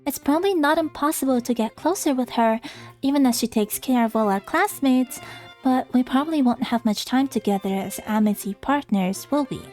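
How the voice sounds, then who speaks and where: High-voiced woman streamer reading aloud over music. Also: moderate pace, darkish tone. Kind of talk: expressive read-aloud with casual commentary. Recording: music playing behind the voice. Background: game music.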